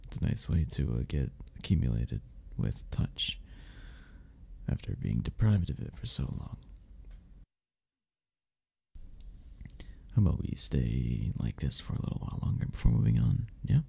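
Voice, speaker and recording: A man playing a gentle, patient, flirty cuddle club member in an intimate ASMR romance roleplay, soft-spoken, considerate and reassuring, with a gravelly, husky voice. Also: extremely low pitch, sing-song, normal speed, exaggerated voice. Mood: tender, teasing.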